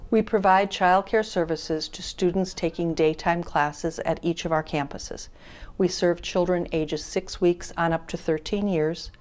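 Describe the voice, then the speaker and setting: A woman in her sixties speaks in a slightly low voice, calm and formal as she explains her work. She is seated in a classroom and recorded on a lapel mic. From Wisconsin, USA. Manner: measured, even, formal explaining. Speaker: child care services director. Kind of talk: on-camera interview statement. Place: classroom of a child care center, indoors.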